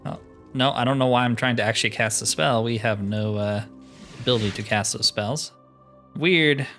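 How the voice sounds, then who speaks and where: A man's voice: mid-range voice, moderate pace, a bit bright, soft background music. A man giving casual commentary as he plays a role-playing game. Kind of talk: casual game commentary, reading quest dialogue aloud.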